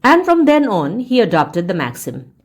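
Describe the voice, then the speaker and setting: A woman reading a story aloud as a teacher would, in an expressive, deliberate and emphatic read-along style. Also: mid-range voice. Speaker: audiobook reader and English teacher.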